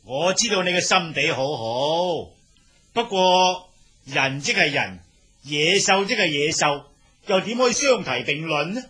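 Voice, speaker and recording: Bright, high-voiced hearty prince. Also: male voice, lively intonation, unhurried. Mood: patient, reasoning. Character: hearty, agreeable eldest prince out hunting. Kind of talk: Cantonese radio drama acting.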